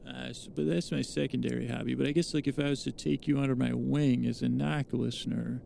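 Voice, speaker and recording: A man with a creaky, gravelly voice, rambling through an improvised storytelling monologue as a host, halting and trailing off mid-thought. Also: medium pitch, steady tone, mellow, a little hiss or hum.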